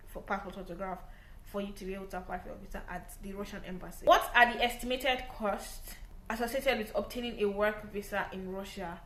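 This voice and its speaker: A woman's voice: fairly high pitch, fairly bright tone. Chatty Nigerian woman in a roomy echo. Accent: Nigerian English. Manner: chatty, repeats points, often says "like" and "right?". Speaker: vlogger giving visa advice. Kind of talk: casual explanatory talk to camera.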